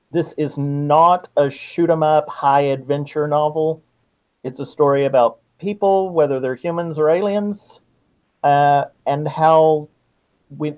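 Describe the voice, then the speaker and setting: A middle-aged man answering interview questions as a guest author, in a measured, reflective manner. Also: fairly high pitch, steady tone, dull audio. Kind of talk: interview answers about writing.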